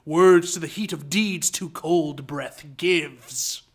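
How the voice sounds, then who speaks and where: A man's voice: a little high, takes it slowly. A man playing a menacing murderous driver who declaims Shakespeare theatrically in a live radio play. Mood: sinister, grandiose. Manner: declamatory, sinister.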